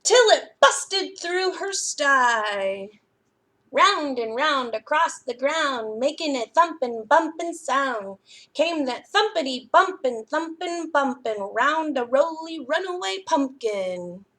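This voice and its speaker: A woman storyteller reading aloud to young children in a deliberate, sing-song way. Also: high voice, expressive, sharp tone.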